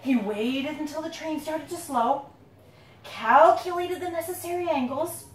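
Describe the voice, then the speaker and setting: A woman reading her own picture book aloud to a young child, playfully dramatic, drawing out words and pausing to ask questions. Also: high-pitched. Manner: dramatic build-ups with drawn-out words. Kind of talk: animated storytelling read aloud to a young child. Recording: roomy, noisy, distant handheld recording.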